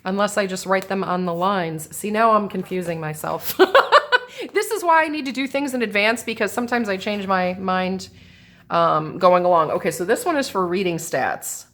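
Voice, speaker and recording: A woman's voice: mid-range voice, moderate pace, fairly bright tone. A woman with a stuffy, sinusy voice chatting casually while setting up a planner, thinking aloud as she goes. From American Midwest. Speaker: planner and journal crafter. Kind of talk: casual crafting chat. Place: indoors at a craft table. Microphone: camera over the table.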